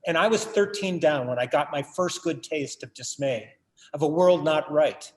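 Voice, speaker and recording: A man acting a brooding artist and obsessive collector in a stage play. His fairly high voice muses earnestly and wryly, like a theatrical monologue. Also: moderate pace, natural voice. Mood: bitter, wry.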